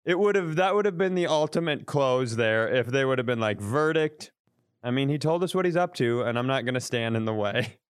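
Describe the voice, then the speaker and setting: Smooth-voiced man, wry comic storytelling. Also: mid-range voice, fairly quick, no room sound, studio quality. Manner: wry, exaggerated storytelling with profanity. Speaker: podcast co-host. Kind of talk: casual comedic chat.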